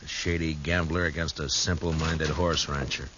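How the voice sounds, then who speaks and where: An actor playing a blunt, grave, principled frontier marshal in a 1950s western radio drama. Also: male voice, a bass voice, flat delivery, moderate pace. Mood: troubled. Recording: dull, noisy vintage radio recording.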